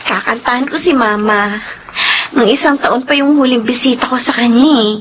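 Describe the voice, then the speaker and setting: A woman's voice: a little high, moderate pace, a bit bright, lo-fi sound, slight background noise, natural voice. A woman playing a sweet, playful, affectionate youngest daughter in a Filipino radio drama, speaking respectfully with a girlish manner. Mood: gentle, curious.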